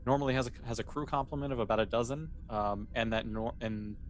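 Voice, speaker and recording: A man casually improvising narration as the game master of a tabletop role-playing game, with conversational, halting phrasing. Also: mid-range voice, steady tone. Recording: clear background noise.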